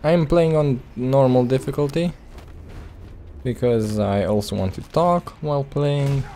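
A man's voice: mid-range voice, unhurried, mellow, slight background noise. A man giving casual let's play commentary as a gaming video host. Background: game music.

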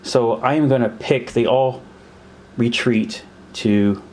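A man at a table talks himself through a war board game, counting out pieces and stopping to puzzle over the rules. His voice sits at an ordinary middle pitch, with a faint hiss of background noise in the recording. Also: fairly even intonation, slow, a little mellow. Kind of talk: thinking aloud while playing a war board game. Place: indoors at a table.

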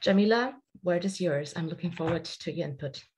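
A woman's voice: on the low side, a little mellow, slight background noise. A young woman moderating an online panel, introducing the speakers with frequent hesitations, over a headset on a video call. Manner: halting, with fillers.

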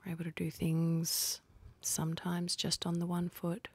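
A woman leading a guided meditation in a soft, husky, breathy voice, speaking calmly and gently with unhurried pauses. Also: lowish voice, dry recording. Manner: calm, gentle, unhurried instructing with long pauses.

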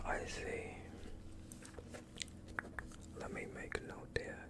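A young man in a whispered ASMR doctor roleplay, speaking calmly and soothingly in a breathy, unvoiced whisper close to the microphone. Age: young adult. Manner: calm, soothing, unhurried questioning. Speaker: ASMR performer playing a doctor.

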